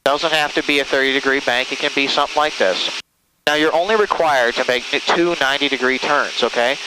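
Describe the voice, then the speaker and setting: A man's voice: medium pitch, a little fast. A man giving a hands-on flying lesson over a headset, coaching step by step, reassuring and often checking that the student follows. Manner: reassuring, repeatedly checks understanding with short tag questions. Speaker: flight instructor. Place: inside a small airplane in flight. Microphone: aviation headset. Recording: noisy small airplane cockpit, engine and radio. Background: aircraft engine drone, radio.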